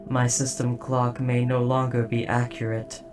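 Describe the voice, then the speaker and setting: A performer voicing a dutiful robot soldier in an audio drama, speaking in a formal, precise, measured and softly hesitant way. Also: medium pitch, level tone, distant mic, over music, natural voice. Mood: calm, formal. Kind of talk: scripted roleplay audio drama.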